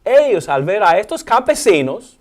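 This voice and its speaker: Animated man with an Alabama accent. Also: high voice, moderate pace. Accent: American English (Alabama). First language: English. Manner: animated, asks questions, uses sound effects. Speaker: Bible teacher. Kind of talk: Bible study discussion.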